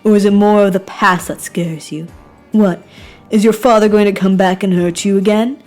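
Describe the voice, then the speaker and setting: A woman's voice: medium pitch, fairly even intonation, moderate pace, darkish tone, soft background music, close to an everyday voice. A woman voicing a cruel, goading, sinister young man in an amateur game. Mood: menacing.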